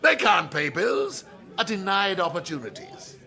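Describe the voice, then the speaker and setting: An actor playing a provocative, condescending professor speaking emphatically in a radio drama. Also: male voice, sits high, expressive, unhurried, sharp tone, full character voice. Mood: fervent. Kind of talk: radio drama acting, public lecture.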